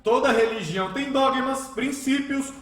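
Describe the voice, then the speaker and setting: Fiery high-pitched priest. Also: male voice, measured pace, fairly bright tone, reverberant. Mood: scornful, preaching. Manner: frenetic, oratorical, emphatic repetition. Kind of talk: radio drama acting.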